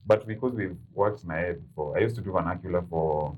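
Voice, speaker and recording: A man with a Kenyan accent and a very low, deep voice talks fast and loosely as a studio guest. He laughs easily while he chats. A faint hiss sits under the recording. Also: narrow, tinny audio. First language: Kikuyu. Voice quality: deep, manly voice. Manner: laughs often, quick and chatty. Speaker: voice-over artist, studio guest. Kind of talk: casual chat, mixing English and Swahili.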